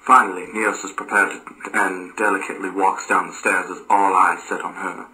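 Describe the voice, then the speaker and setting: Man, scripted narration, low pitch, very sharp bright tone, occasional stumbles in reading. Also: level tone, moderate pace, rough recording.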